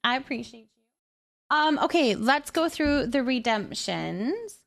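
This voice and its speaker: A woman hosting a casual livestream, chatting with viewers in a gentle, affectionate way and often repeating her phrases softly. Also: high-pitched, sing-song, slow pace, gravelly. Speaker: livestream host. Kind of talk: casual livestream chat, thanking viewers and reading their messages aloud.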